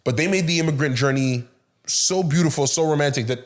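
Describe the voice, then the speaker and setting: A Canadian-born man hosting a podcast, upbeat and talkative, welcoming his guest and keeping the conversation moving. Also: fairly high pitch. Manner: upbeat and talkative, quick to affirm with mm-hmm. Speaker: podcast host.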